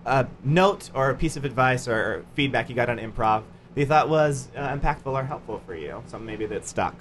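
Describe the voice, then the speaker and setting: A man's voice: mid-range voice, lively intonation, fairly quick, fairly bright tone, a little hiss or hum. Easygoing male podcast host. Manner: easygoing, affirming, chuckles often. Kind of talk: casual podcast chat.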